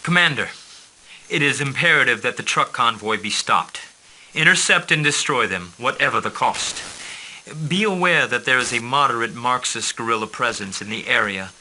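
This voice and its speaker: An actor playing a commanding mission controller who gives clipped, formal orders in a game cutscene. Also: male voice, medium pitch, expressive, moderate pace, bright, forceful tone, slight background noise, rough recording.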